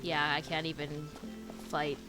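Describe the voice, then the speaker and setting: A woman streaming a game, reacting out loud in a casual, expressive chat style. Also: deep voice, a little slow, rough recording. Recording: game music behind the voice.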